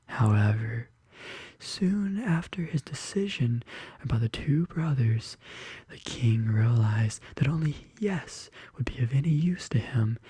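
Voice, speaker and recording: Bedtime-story ASMR narrator: very breathy, soft whisper, dark and mellow tone, gentle deliberate delivery. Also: mid-range voice, no echo. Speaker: ASMR video host. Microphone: close studio condenser microphone.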